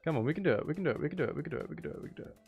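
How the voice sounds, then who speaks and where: A man's voice: fairly high pitch, animated, racing, vocal fry, a little mellow, slightly rough, faint music behind. A man giving casual livestream commentary on a video game, talking to himself with mutters and exclamations. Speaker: game streamer.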